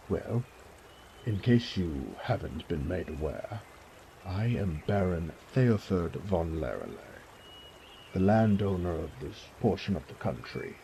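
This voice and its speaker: Elderly noble father, doting, genteel, effusive, slow, very animated pitch, audio drama. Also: slight background noise, full character voice. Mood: jovial, courteous. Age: older. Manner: effusive, genteel, old-fashioned phrasing. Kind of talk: audio roleplay drama acting.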